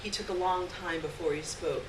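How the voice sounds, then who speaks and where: A woman's voice: on the low side, moderate pace. Woman reading fiction aloud, echoey distant sound. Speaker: reader of a short story on stage. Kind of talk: expressive live literary reading to an audience. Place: indoor stage reading before a live audience. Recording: echoey, noisy live room, distant mic.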